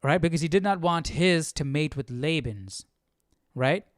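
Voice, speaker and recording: Man, fairly high smooth voice, casual study host, informal, questioning, close dry sound. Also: expressive, unhurried, no echo. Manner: informal, questioning, thinking aloud. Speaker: host of live Bible study. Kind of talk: casual conversational commentary.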